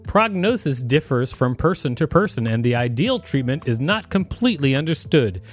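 A man co-hosting a podcast, reading an encyclopedia article aloud in a plain, steady way and joking between sections. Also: mid-range voice, moderate pace, faint music behind. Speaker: podcast co-host and reader.

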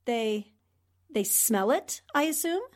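A woman's voice: a little high, animated, slow. A woman playing a level-headed, skeptical newspaper reporter in the style of 1940s radio drama. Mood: doubtful.

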